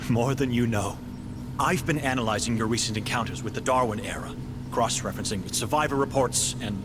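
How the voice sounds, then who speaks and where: A man's voice: medium pitch, a little fast, background noise, lightly acted. An actor playing a fussy scientist doctor in a game, hesitant and pedantic, faintly pompous and fascinated by his work. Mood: fascinated, lecturing. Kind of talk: cinematic game voice acting.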